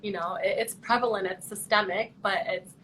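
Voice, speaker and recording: A woman's voice: mid-range voice, fairly even intonation, normal speed, a bit bright, a little hiss or hum, rough recording. A young woman from Canada, a pageant contestant, chatting earnestly and thoughtfully over a video call. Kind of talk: casual video call chat.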